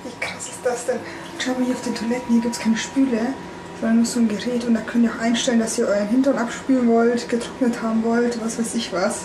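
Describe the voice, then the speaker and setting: A woman's voice: a little high, level tone, fast talker, noisy background. A young woman vlogger talking casually and enthusiastically, often exclaiming, into a handheld camera in a restaurant. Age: young adult. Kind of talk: casual follow-along travel vlog. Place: busy indoor themed restaurant. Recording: loud restaurant ambience, clattering dishes.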